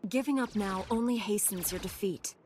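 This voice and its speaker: A woman's voice: medium pitch, moderate pace, a little mellow, close to an everyday voice. A woman plays a resolute young knight, a principled video game heroine. Her mid-range voice is composed, formal and firm, with faint noise underneath. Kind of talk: scripted video game dialogue.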